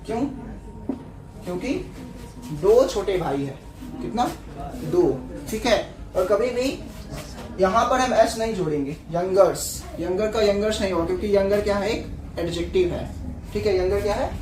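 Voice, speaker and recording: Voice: medium pitch, moderate pace, long pauses. A teacher with a Bihari accent giving a classroom lesson, who keeps repeating each point back to the class as a question. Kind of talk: classroom English lesson in Hindi. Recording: echoey room, far from mic, noisy.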